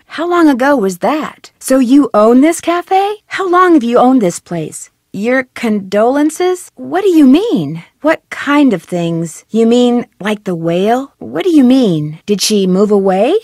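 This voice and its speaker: A curious young detective heroine of a mystery video game. Her questions come out earnest and friendly, with a fairly high, lively, swooping pitch. The studio recording is close and dry. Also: no echo. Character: young adult female amateur detective, curious and polite.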